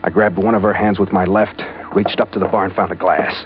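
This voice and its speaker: An actor playing a tough, wry detective in old radio noir style, speaking and narrating in a laconic, deadpan way. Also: male voice, low voice, fairly quick, close to an everyday voice. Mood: tense narration. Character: tough, wry male hardboiled detective narrating his adventure. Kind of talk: 1940s-50s radio noir acting and first-person narration. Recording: muffled old radio recording, loud music behind.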